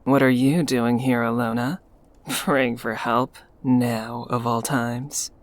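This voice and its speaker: An actor playing a cold, mocking town official who hunts a werewolf in a dramatic visual novel, sardonic and taunting, over music. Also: male voice, medium pitch, little pitch movement, slow, lightly acted. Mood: mocking, menacing. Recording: music under the voice.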